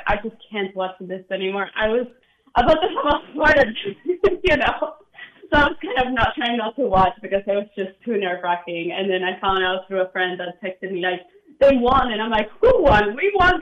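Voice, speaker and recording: A woman's voice: mid-range voice, fairly bright tone, muffled recording. A woman from Argentina, a conductor chatting enthusiastically as an interview guest and laughing often. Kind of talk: broadcast interview.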